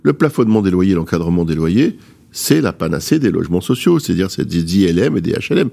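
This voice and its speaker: A middle-aged man with a fairly low voice, arguing his views in a panel discussion. He is emphatic and rhetorical, often turning straight to his listeners. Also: sing-song, fast talker, creaky, dark tone. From born outside France, moved there at eighteen. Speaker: guest commentator on housing policy.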